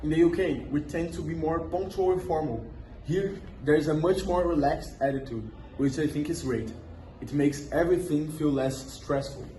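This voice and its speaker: A man's voice: on the high side, moderate pace, dark tone. A young man answering interview questions in a scripted school role-play, reading memorized lines evenly. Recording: echoey room with background noise.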